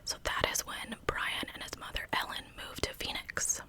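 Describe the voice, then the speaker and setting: Whispering woman, ASMR storyteller. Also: normal speed. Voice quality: breathy unvoiced whisper. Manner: conversational narration. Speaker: true crime ASMR host.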